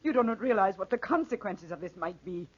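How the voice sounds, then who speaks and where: Scornful peasant wife, husky nagging voice, 1940s radio drama, muffled recording. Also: female voice, medium pitch, animated, a little fast. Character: scolding, bitter, fearful peasant wife and mother.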